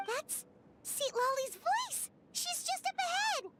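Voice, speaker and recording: A woman voicing a tiny, excitable, childlike fairy companion in a game, squeaky and chirpy. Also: takes it slowly, slight background noise, exaggerated voice. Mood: excited. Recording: music under the voice.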